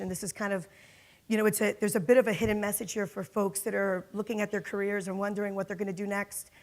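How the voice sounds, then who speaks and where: A middle-aged woman from Miami speaking on a conference panel, telling her career story with lots of fillers. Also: medium pitch. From Miami, Florida. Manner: lively storytelling with frequent fillers and laughs. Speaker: panelist, corporate security executive. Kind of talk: panel talk. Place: conference hall.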